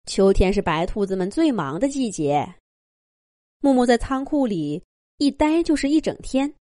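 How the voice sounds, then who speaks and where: A woman narrating a children's fairy tale in an expressive, scripted storytelling style. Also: on the high side, normal speed, no echo, studio quality.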